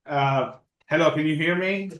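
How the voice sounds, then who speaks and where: A man's voice: fairly high pitch, normal speed, fairly bright tone, echoey room. A man, a native Italian speaker, who chairs a caving club, talks eagerly on a video call, restarting his sentences as he goes. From Italy. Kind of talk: video call question and answer.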